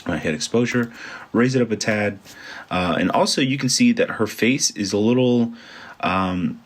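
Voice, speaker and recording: A man's voice: on the low side, moderate pace. A man with a congested voice, a photographer casually talking through a photo edit step by step and thinking aloud as he works. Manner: thinks aloud while working, informal and chatty. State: congested from allergies. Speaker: photographer teaching photo editing. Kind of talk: casual screen-recorded tutorial.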